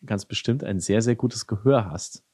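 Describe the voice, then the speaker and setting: A man's voice: on the low side, normal speed, a little mellow, husky, no echo, clean studio sound. A man hosting an interview, asking curious, probing questions with some hesitation. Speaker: podcast interviewer. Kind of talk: casual conversational interview.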